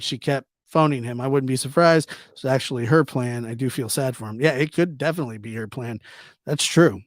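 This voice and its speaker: A man's voice: fairly high pitch, no echo, studio quality. A man hosting a livestream, chatting casually and reacting to viewer comments in an informal, teasing way, often repeating himself. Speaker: livestream host. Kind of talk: casual live chat commentary, reading and answering viewer comments.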